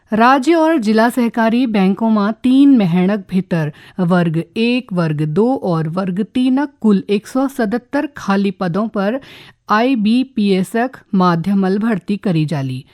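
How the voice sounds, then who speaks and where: A woman's voice: mid-range voice, darkish tone. Kumaoni-accented woman reading formal news. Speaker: radio newsreader. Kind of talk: scripted news bulletin reading.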